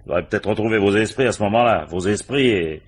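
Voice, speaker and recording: Brusque police chief, low husky voice, colloquial, commanding, vintage radio drama. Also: male voice, quick pace. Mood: stern, impatient. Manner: curt, authoritative, colloquial, frequent "bon" and "hein". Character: gruff, brusque French police commissioner, the boss. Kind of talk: 1950s-style French radio mystery acting.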